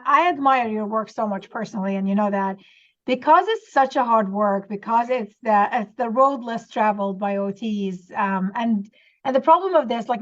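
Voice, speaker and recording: Woman host, warm, asks questions with lots of uhs, muffled lo-fi audio. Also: medium pitch. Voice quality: warm and admiring, hesitant fillers. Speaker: interview host, occupational therapist. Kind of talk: conversational interview. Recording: dull lo-fi sound.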